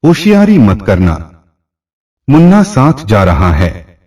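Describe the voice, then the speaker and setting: A man voicing a calm, menacing extortionist who gives orders in an audio storybook. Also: on the low side, takes it slowly, far from the mic, exaggerated voice. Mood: calm, threatening. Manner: commanding, insistent.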